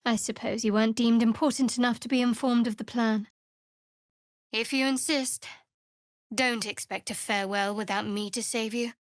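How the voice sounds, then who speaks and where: A woman playing a guarded, wry fantasy cleric adventurer in a video game, with a dry, measured, faintly sardonic delivery. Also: a little high, fairly even intonation, no echo, close to an everyday voice. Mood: cool, distrustful.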